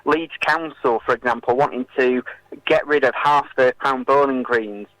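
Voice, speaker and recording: A British man speaking as a campaigner in a radio interview, explaining and arguing in a halting way with many filled pauses. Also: medium pitch, a bit bright, dry recording, poor audio. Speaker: political campaigner and interview guest.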